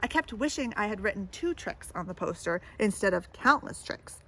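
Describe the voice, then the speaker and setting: A woman reading a children's picture book aloud in an animated way, pausing to put questions and little comments to young listeners. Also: mid-range voice. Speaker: storybook reader.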